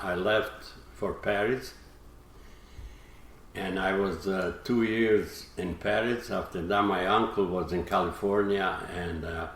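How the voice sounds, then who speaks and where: An older man from Croatia with a Croatian accent, telling his life story in an interview in halting, broken English with many pauses and fillers. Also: deep voice, a bit bright, roomy sound, a little hiss or hum. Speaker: guest, retired fisherman.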